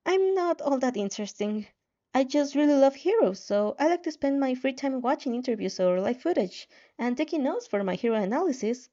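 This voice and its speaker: A woman's voice: high voice, animated, a little fast, dark tone, highs cut off. A woman voicing a shy, earnest teenage boy who is a bashful, eager hero fan in a fan-made audio drama. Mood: bashful, self-conscious. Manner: bashful, self-deprecating, eager.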